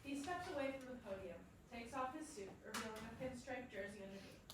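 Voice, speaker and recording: A woman's voice: on the high side, brisk. Very echoey woman reading stage directions. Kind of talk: scripted narration at a staged reading. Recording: very echoey room, far mic, clear noise.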